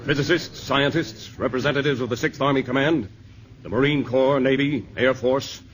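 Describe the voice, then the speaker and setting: An actor playing a stern army general briefing officials in a 1950s radio drama, grave and commanding. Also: male voice, medium pitch, normal speed, natural voice. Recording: old radio broadcast with faint hiss.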